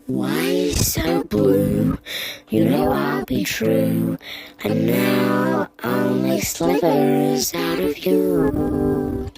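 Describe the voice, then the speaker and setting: A sinister puppet from a horror game croons menacing rhymes. The voice drops low and gravelly, dragging each line out very slowly. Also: female voice, animated, over loud music, full character voice. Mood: gleeful menace. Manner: slow, drawn-out, sing-song rhymes.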